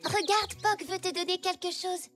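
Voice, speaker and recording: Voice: extremely high pitch, fairly even intonation, normal speed, faint music behind. A performer voicing a sweet, helpful young zebra girl in a children's cartoon, with a childlike manner. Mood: friendly. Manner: bright, childlike. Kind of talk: French children's cartoon dialogue.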